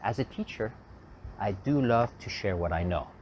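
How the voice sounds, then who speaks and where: Guy doing a live stream, lowish voice, thinking out loud, traffic noise behind. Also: lively intonation, normal speed, lo-fi sound. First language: French. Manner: reflective, thinking aloud with fillers and restarts. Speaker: host.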